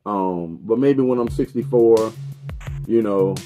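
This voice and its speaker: A man's voice: lowish voice, slow pace, music in the background. A man in his thirties talking casually about beer and cigars, loose and repetitive, swearing and drifting into preachy life advice. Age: 30s. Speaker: vlogger reviewing beer and cigars. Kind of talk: casual rambling monologue.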